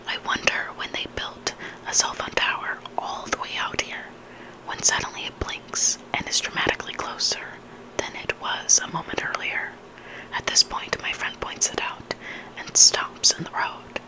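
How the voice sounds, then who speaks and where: An ASMR creator whispering scary stories in a soft, unhurried narration, phrased for dramatic storytelling. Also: low-quality recording. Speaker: ASMR creator reading listener horror stories.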